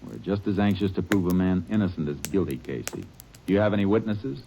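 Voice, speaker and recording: An actor playing a calm, firm male Texas Ranger who narrates and questions suspects in steady, measured tones, in 1940s radio drama style. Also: low voice, gravelly, muffled recording, slight background noise. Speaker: narrator and lead character.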